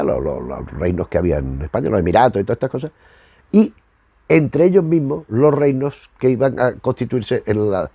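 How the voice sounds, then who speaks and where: A man's voice: medium pitch, a little mellow, dry recording. A middle-aged man with a Spanish accent from Spain, giving unscripted commentary in a rambling, digressive, self-correcting way. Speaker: host of a retro television review channel.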